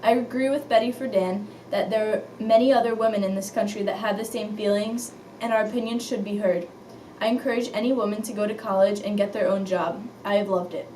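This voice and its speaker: A woman's voice: mid-range voice, fairly even intonation, brisk, dark tone, lightly acted. A woman playing an earnest 1950s news anchor, reading scripted items in an amateur mock newscast. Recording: echoey room, distant mic, faint noise.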